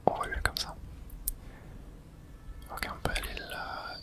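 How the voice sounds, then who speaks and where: A gamer speaks in a breathy, unvoiced whisper with a bright, crisp edge. They narrate their play in an ASMR style, murmuring small reactions and mild curses as they go. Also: unhurried. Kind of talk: whispered ASMR video game let's play.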